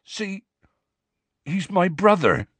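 A huge half-giant man from a storybook, soft-hearted and anxious. He pleads earnestly in rustic dialect, his pitch lifting and swooping, recorded close and dry. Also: big pitch swings, moderate pace, slightly rough, no room sound. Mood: earnest, pleading.

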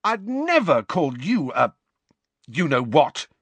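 A man's voice: medium pitch, expressive, moderate pace, dry recording, lightly acted. A man voicing a cocky, hot-headed teenage schoolboy in a storybook, with a brash, emphatic delivery. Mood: agitated shouting.

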